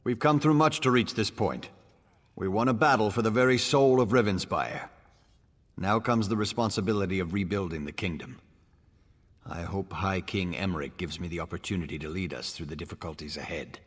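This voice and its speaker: An actor playing a proud, ambitious, firm-handed baron in a fantasy video game, speaking formally and resolutely. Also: male voice, low voice, brisk, slightly rough. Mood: resolute, self-assured. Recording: echoing hall-like reverb.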